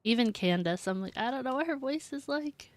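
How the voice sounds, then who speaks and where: A woman's voice: high-pitched, animated, brisk. A woman streaming a video game, chatting casually and reading the game text aloud. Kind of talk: casual gameplay commentary.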